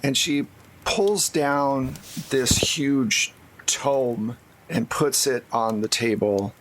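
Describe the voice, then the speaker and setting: A man's voice: mid-range voice, drawn-out words, fairly bright tone, rough recording. A man working as game master and host, narrating a tabletop roleplaying game.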